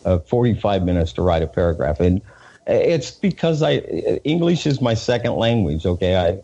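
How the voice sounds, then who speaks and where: A man with a Southern US accent, a reporter being interviewed, talking in a halting way with many fillers and repeated words. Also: low-pitched, sing-song, mellow, slightly rough, dull audio. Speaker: independent news reporter, guest. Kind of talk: casual interview talk.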